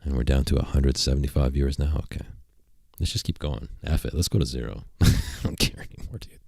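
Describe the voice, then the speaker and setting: A man with a very deep voice chats on his livestream from a computer desk. He rambles along and throws out crude, provocative jokes at his viewers. His voice sounds close and dry, with no room echo. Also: fast talker, creaky, darkish tone. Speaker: streamer and channel host. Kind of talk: casual livestream chat. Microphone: desk mic at a computer.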